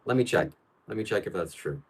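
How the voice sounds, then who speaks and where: A man from Ukraine hosts a casual live stream, reading chat questions and answering them bluntly. His voice sits a little low, and his opinions come out flat and certain. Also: fairly quick.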